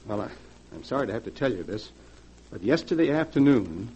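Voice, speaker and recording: An actor playing a scheming, falsely solicitous nephew-in-law, placating, in 1940s radio style. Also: male voice, mid-range voice, sing-song, brisk, darkish tone, slightly rough. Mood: falsely sympathetic. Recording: muffled vintage 1940s radio recording.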